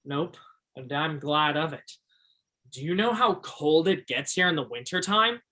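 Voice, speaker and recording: An actor in a stage play as an earnest, romantic teenage high school boy with a theatrical way of talking. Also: animated, measured pace, bright, forceful tone, full character voice. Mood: sheepish.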